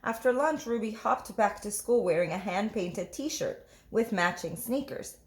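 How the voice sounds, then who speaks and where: A woman's voice: mid-range voice, expressive, unhurried. A woman teacher reading a picture book aloud, narrating and putting questions to young listeners. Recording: roomy echo.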